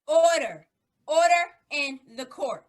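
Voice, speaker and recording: A woman acts an officious court official in a radio play, with a high voice. She calls out commands slowly and with authority. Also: animated. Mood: commanding. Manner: calling out commands. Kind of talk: old-time radio drama acting.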